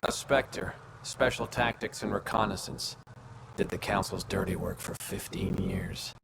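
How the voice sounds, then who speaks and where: Gravelly, jaded veteran agent. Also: male voice, sits low, huge pitch swings, measured pace, heavily acted. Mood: dry, wry. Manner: dry, clipped, world-weary. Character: gruff, jaded veteran alien special agent. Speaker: former special operative. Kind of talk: video game dialogue acting. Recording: loud ambient noise under voice.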